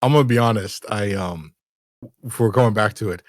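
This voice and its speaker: Low man, halting game master, dry close mic. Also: dry recording. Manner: halting, apologetic, laughs often. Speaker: dungeon master. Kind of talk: running a tabletop game on stream.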